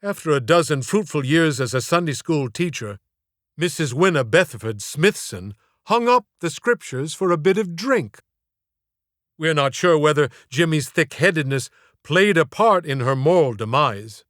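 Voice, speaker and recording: A man's voice: fairly high pitch, lively intonation, normal speed, fairly bright tone, no room sound, studio quality. A man narrating a comic story as an audiobook, in a theatrical, wry, mock-formal way.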